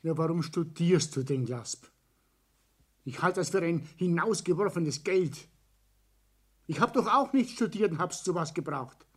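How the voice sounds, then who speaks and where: An actor playing a money-minded middle-aged builder and father, blunt and dismissive, husky. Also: on the high side, animated, a little slow, long pauses. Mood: persuading, dismissive. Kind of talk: acted dialogue in a regional satire.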